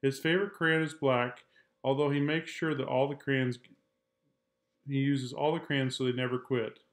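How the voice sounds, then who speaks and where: A man's voice: medium pitch, steady tone, moderate pace. A man, an art teacher, narrating a children's book read-aloud.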